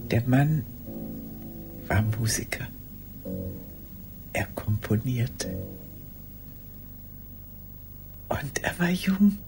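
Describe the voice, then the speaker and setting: An actress playing a lonely old woman who confesses haltingly and intimately in a radio drama monologue. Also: rumbling low, sing-song, over music, full character voice, rough recording. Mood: wistful, hushed. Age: older. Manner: hesitant, halting, intimate, with hushed phrase endings.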